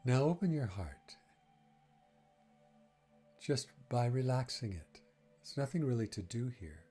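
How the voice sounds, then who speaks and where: A man leading a guided breathing meditation, speaking gently in short phrases with long, unhurried pauses. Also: medium pitch, big pitch swings, breathy edge, faint music behind.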